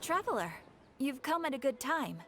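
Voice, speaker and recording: A woman voicing a composed, earnest commission giver in a video game, speaking in a formal, measured way. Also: sits high, sing-song. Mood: welcoming. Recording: soft game music behind.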